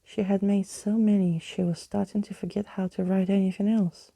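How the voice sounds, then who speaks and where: A woman reading a storybook as ASMR, in a soft, breathy, gently hushed voice that slips into whispering. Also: medium pitch, flat delivery, normal speed, dry recording. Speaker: ASMR reader, narrator.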